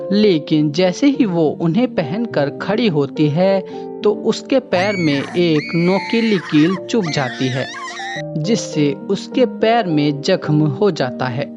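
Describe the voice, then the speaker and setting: A woman narrating a children's moral story in a calm, measured way. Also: low-pitched, muffled recording. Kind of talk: scripted narration.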